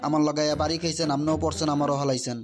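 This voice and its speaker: A man's voice: fairly high pitch, flat delivery, racing, lightly acted. A man voicing a defensive, argumentative cartoon fellow in a Bangladeshi regional dialect.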